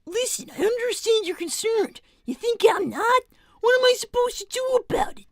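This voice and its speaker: A young cartoon fox boy played by a woman speaks in a tiny, very high voice. He is brainy but sensitive, sounding earnest, hesitant and worn down as he protests. The sound is dry and close. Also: expressive, normal speed, no room sound. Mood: worried, exasperated. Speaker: character performer on a livestream. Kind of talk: improvised in-character conversation.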